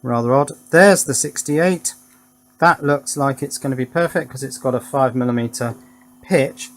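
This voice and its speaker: A man's voice: mid-range voice, normal speed, a little hiss or hum. A man giving a casual hands-on electronics demonstration, thinking aloud and talking to himself as he works. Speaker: electronics hobbyist presenter.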